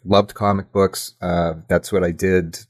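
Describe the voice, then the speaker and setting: A man speaking as an interview guest in a casual, reflective way, modest, candid and a little halting. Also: deep voice, little pitch movement. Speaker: podcast guest, audio drama writer.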